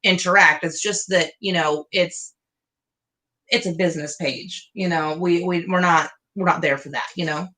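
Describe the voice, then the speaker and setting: A woman's voice: on the low side, fairly even intonation, normal speed, pushed and bright. A woman, co-hosting a casual live Q&A, chatty and self-deprecating with lots of fillers. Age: 30s. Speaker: podcast co-host.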